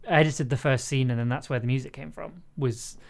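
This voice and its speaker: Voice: mid-range voice, fairly even intonation, creaky. Stammering, laughing livestream host in casual chat. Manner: hesitant, stammering over repeated words, quick to laugh. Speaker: audio drama writer and composer, host of livestream.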